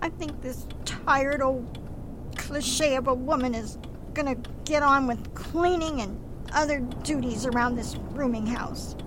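A woman playing a stern, weary, middle-aged mother in a radio play, scolding and emphatic. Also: a little slow. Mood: hurt, weary. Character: stern, scornful, weary mother running a rooming house. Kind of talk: melodramatic radio play acting. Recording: clear background noise and rumble.

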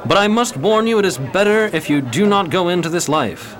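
A man playing a courtly, uneasy gentleman gambler in a 1920s period radio drama, speaking formally. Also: high-pitched, lively intonation, a little fast.

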